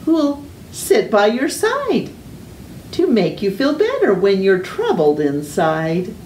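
A middle-aged woman reading a children's picture book aloud with deliberate, exaggerated storytime delivery and drawn-out words. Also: medium pitch, darkish tone. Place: living room at home. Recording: roomy echo, distant mic, faint noise.

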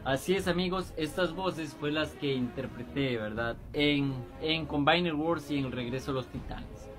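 A man's voice: a little high, moderate pace, over music. A young man in his twenties with a Costa Rican accent, chatting animatedly to fans from a small bedroom. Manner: animated, gestures a lot. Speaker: fan voice actor, channel host. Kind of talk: casual video chat to fans.

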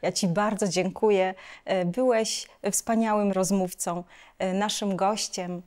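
A middle-aged woman with a medium-pitched voice hosts a literary interview. She talks in a conversational way, as the presenter of a cultural television programme speaking to a guest and to viewers. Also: steady tone, measured pace, darkish tone. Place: small book-lined studio lounge, indoors.

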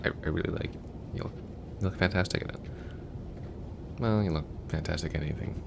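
A man's voice: fairly quick, background noise. A young man playing a chatty, goofy, affectionate long-distance boyfriend in a roleplay audio, talking casually in a rambling, hesitant way. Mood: tender, affectionate. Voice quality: very deep, gravelly.

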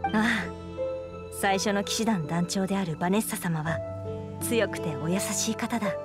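Casting: woman as young female knight leader, medium pitch, formal, noble, earnest, anime game, music underneath. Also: normal speed, lightly acted. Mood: earnest, reverent. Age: young adult. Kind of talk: Japanese anime game voice acting.

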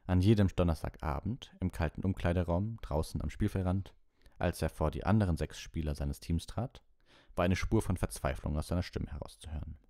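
Deep, mellow man reading at bedtime. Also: brisk, no echo, clean studio sound. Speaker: audiobook reader and livestream host. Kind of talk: bedtime audiobook narration and soft sign-off.

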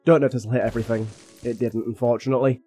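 A young man with a slightly raspy voice from being a little ill, chatting casually and thinking aloud as he plays a game. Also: mid-range voice, flat delivery, racing, dark tone, soft background music. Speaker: gaming YouTuber. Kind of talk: casual gameplay commentary.

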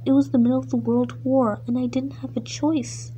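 A sheepish young male tank engine from a children's story, acted out by a woman. The voice is fairly high and gentle in tone. He is hesitant and apologetic, with faint music underneath. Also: flat delivery, a little slow, highs cut off. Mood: sheepish, nervous.

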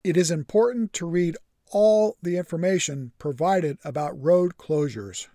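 A man's voice: a little high, a little slow, warm tone, no echo. Dry, matter-of-fact male narrator. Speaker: vlogger. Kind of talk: scripted voice-over narration.

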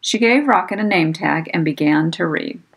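A woman reading a children's storybook aloud with expressive, careful enunciation for young listeners. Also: fairly low pitch, normal speed, gravelly, husky. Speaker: storybook reader. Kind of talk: scripted children's storybook narration. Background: music.

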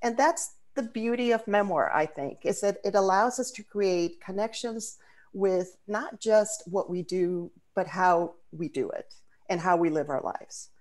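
A woman's voice: medium pitch, unhurried, darkish tone. A woman from the Dominican Republic, a native Spanish speaker, hosting a video call event in a thoughtful way with frequent fillers and restarts. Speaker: host.

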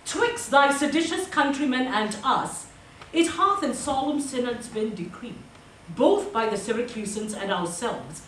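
A woman stage actor declaiming Shakespeare verse in a measured way. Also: fairly high pitch, animated, a bit bright, slight background noise. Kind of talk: Shakespeare recitation and stage dialogue. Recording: echoey theatre from far off.

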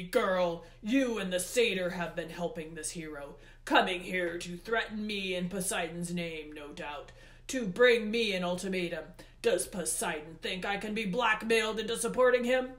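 A woman voicing a furious, imperious male god of the underworld for a storybook, with booming, emphatic, drawn-out words. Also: natural voice. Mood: bitter anger. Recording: roomy, echoey indoor sound.

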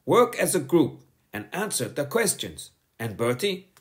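A man's voice: fairly high pitch, sing-song, unhurried, a bit bright, pitched above the performer's own voice. A man voicing a stern, bossy woman schoolteacher who snaps and thunders her orders. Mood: stern, scolding. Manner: snapping, thundering commands.

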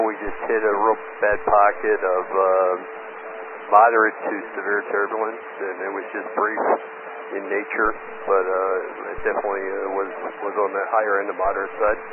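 A man working as an airline pilot, talking to air traffic control over aircraft radio in a matter-of-fact, procedural manner. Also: fairly low pitch. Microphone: aircraft radio headset. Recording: noisy narrowband cockpit radio transmission.

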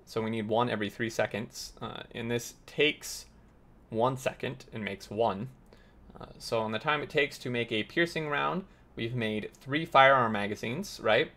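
A man hosting a gaming tutorial, slightly raspy as he loses his voice, explaining step by step while thinking aloud with many uhs. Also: mid-range voice, normal speed, bright, forceful tone. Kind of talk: explaining gameplay while playing.

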